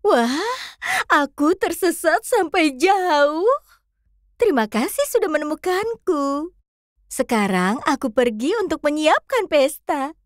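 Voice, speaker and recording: A woman's voice: high-pitched, very animated, takes it slowly, dry recording, studio quality, full character voice. A woman voicing a cheerful cartoon fox who coaxes children to join the search in a sing-song way. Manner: sing-song, coaxing, addresses the kids. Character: bright cheerful pink fox guide.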